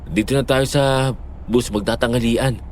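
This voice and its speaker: A man playing a caring, patient young boyfriend who reasons gently and earnestly in an audio drama. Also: mid-range voice, steady tone, moderate pace, slight background noise, lightly acted. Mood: affectionate, easygoing. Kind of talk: Filipino audio horror-story drama acting.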